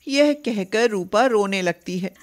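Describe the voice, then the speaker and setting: A woman storyteller reading a scripted narration for an animated tale. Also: medium pitch, slow.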